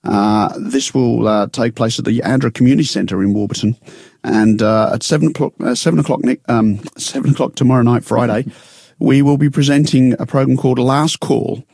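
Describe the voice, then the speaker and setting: A man with a croaky voice, co-presenting a relaxed radio chat in a studio and filling his talk with ums and uhs. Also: medium pitch, a little fast, no room sound. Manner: chatty with frequent fillers.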